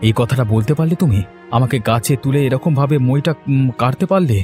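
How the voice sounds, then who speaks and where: Male actor as earnest wronged son-in-law, cartoon drama; dark mellow voice, faint music. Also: mid-range voice, moderate pace, close to an everyday voice. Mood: hurt, indignant. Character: honest, aggrieved young village son-in-law. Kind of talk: dramatic cartoon story dialogue.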